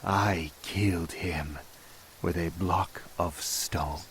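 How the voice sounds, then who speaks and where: An actor playing a lying villager in an audio drama, halting and emphatic as he makes his accusation. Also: sits low, steady tone, a little hiss or hum. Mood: accusing, agitated. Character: lying male villager killer, grimly accusing a foreigner.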